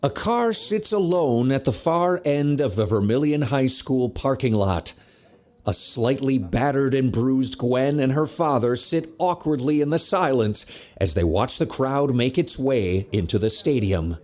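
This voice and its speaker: A man's voice: medium pitch, lively intonation, a little slow, natural voice. A man delivering dramatic scripted narration for an audio drama. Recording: loud music under the voice. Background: loud music.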